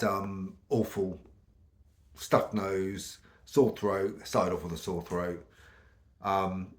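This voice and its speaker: A middle-aged British man with a croaky voice from a cold, chatting casually to camera in a rambling way with many ums. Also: low voice. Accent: British English. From United Kingdom. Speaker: vlogger.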